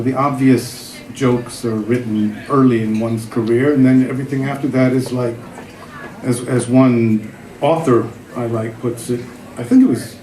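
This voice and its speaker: A man's voice: mid-range voice, a little mellow. Man, middle-aged, wry self-mocking storyteller, reading his column aloud, echoey venue. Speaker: writer and former cartoonist. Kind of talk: reading his humorous column aloud at a live literary event. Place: literary festival venue. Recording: echoey room.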